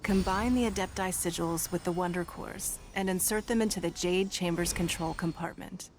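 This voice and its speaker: A woman voicing a poised, calculating noblewoman in a video game, speaking in a measured, formal way. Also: lowish voice, a little hiss or hum, close to an everyday voice. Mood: composed. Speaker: wealthy city leader.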